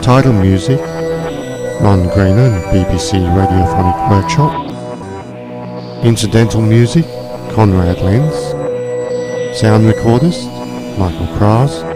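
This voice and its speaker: A man's voice: on the low side, animated, slow pace, pauses often. A man reading out cast and crew credits as a scripted announcement for a radio play. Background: loud electronic music.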